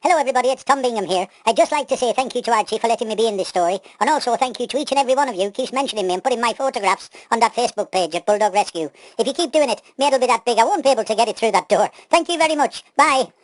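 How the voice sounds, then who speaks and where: A woman's voice: a little high, expressive, rapid-fire, few pauses. A woman playing a kindly, protective, fussing uncle in a children's pantomime story. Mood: grateful.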